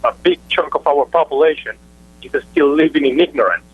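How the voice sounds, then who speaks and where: A man with a Venezuelan Spanish accent calling in to a radio show, earnest and halting. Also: on the high side, husky. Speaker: caller. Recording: muffled dry phone line.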